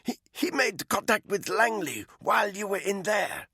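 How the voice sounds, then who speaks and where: A man voicing a captive woman spy who croaks and gasps for air as she is strangled. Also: mid-range voice, lively intonation, normal speed, a bit bright, dry recording, studio quality, pitched above the performer's own voice. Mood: strained, fearful. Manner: croaking, strained pleading. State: choking, gasping.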